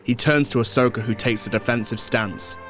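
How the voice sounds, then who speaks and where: A man's voice: medium pitch, a little fast, a bit bright. Man narrating an audio drama over music.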